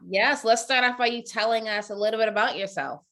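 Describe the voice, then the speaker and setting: A woman's voice: fairly high pitch, fairly quick, fairly bright tone. A woman hosting a podcast and interviewing a guest in a conversational way. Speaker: podcast host.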